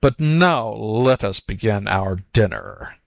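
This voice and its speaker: A man voicing a savage storybook tiger, gruff, scornful and impatient. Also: fairly low pitch, wildly swooping pitch, slow, no echo, clean studio sound, exaggerated voice. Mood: menacing. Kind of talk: storybook character voice.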